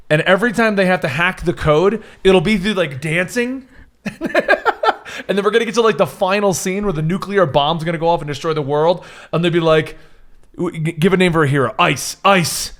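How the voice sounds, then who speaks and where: A man with a voice pitched a little above average co-hosts a list show. He chats casually and jokingly. At times he reads items out to discuss them. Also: brisk, fairly bright tone. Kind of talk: casual joking chat with some read-out items.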